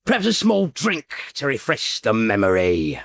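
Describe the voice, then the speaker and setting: An actor playing a tipsy, self-satisfied bohemian painter in a radio drama, rambling and muttering to himself in a creaky voice. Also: male voice, mid-range voice, wildly swooping pitch, normal speed, fairly bright tone, no room sound, clean studio sound, full character voice. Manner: rambling, mumbling to himself, drawling.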